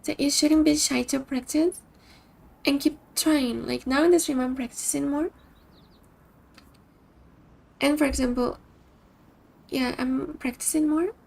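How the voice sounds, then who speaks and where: Brazilian woman, high gravelly voice. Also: fairly even intonation, measured pace, pauses often, darkish tone. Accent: Brazilian Portuguese. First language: Portuguese. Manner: thoughtful, restarts sentences while searching for words. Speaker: streamer. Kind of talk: casual chat with stream viewers.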